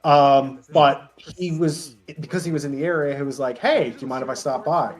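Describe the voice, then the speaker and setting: A man with a middle-pitched voice chats as a guest on an online call. He tells stories casually, in stops and starts, circling back as he goes. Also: moderate pace. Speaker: interview guest. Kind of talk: casual chat, telling anecdotes. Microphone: voice call on Discord.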